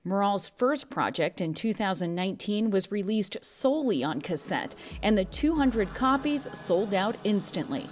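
A woman's voice: mid-range voice, a little mellow, a little hiss or hum. A woman with an American accent reading scripted narration as a news correspondent. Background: background music.

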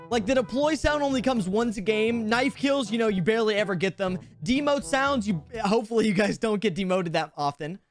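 Young man, high-pitched voice, chatty tutorial delivery, upbeat, close desk mic, faint background music. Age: young adult. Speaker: YouTuber giving a tutorial. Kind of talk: scripted-casual tutorial vlog. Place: bedroom. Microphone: close boom-arm desk microphone.

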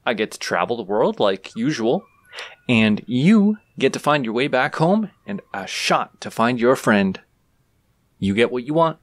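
A man playing an unhurried, easygoing, teasing adventurer. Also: mid-range voice, very animated, dry recording. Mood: upbeat, friendly. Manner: casual, reassuring, playfully cocky. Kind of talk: audio roleplay, speaking directly to the listener.

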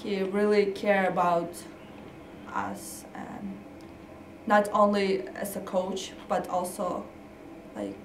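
Young woman, halting english, echoey room, mic kinda far. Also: medium pitch, big pitch swings, a little hiss or hum. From Kazakhstan. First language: Kazakh and Russian. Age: young adult. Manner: halting, searching for words. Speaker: college tennis player. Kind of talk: casual interview. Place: office indoors.

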